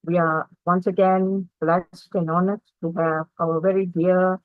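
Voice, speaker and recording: Woman host, effusive introduction, lowish voice, dry lo-fi call audio. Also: flat delivery, measured pace, no room sound. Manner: warm, effusive praise with hesitations. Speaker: meditation session host. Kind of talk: formal introduction. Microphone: video call.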